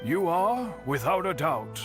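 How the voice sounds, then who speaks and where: An actor playing a dignified, philosophical master swordsmith in a video game, speaking formally and deliberately. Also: male voice, on the high side, very animated. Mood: solemn. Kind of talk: video game character dialogue. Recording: game music behind voice.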